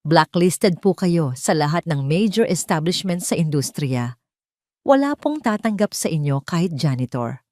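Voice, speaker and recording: A woman's voice: low-pitched, expressive, normal speed, a little mellow, dry recording, clean studio sound. A woman voicing a female office receptionist who is polite but blunt. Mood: curt.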